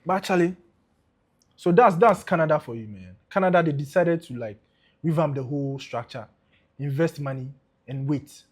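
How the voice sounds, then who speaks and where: A young Ghanaian man with a Ghanaian accent, co-hosting a casual football podcast, animated and dropping into pidgin. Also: on the high side, normal speed. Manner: animated, mixes in pidgin, laughs often. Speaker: podcast co-host.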